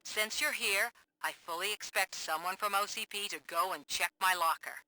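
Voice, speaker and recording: Bright, forceful woman reporter. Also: mid-range voice, moderate pace, dry recording, low-quality recording. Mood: wary, insistent. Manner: pointed, challenging. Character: defiant, distrustful woman investigative reporter. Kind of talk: video game cutscene acting.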